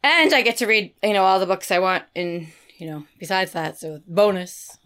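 A woman hosting a book podcast, hoarse from a lost voice, chatting eagerly and laughing often. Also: fairly low pitch, moderate pace, a bit bright. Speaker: podcast host. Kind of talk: casual chat about new books.

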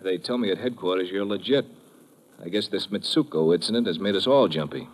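An actor playing a guarded, tough American army lieutenant in a 1950s radio drama, talking in terse slang. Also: male voice, low voice, animated, brisk, rough recording. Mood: sheepish, apologetic.

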